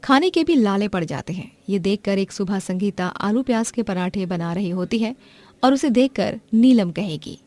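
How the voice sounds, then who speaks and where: A woman's voice: medium pitch, lively intonation, normal speed, a little mellow, dry recording. A woman giving plain, scripted narration for a cartoon moral story.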